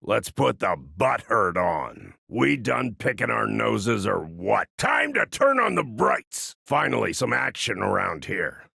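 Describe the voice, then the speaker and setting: Gravelly old American part-machine gunfighter. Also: male voice, fairly low pitch, very animated, slow pace, few pauses, bright, forceful tone, no echo, studio quality, full character voice. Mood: urgent, commanding. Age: older. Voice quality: gravelly, husky. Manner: folksy drawling slang, wry and grumbling. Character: gruff, grizzled old part-machine gunfighter, wry and world-weary. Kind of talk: video game character voice lines.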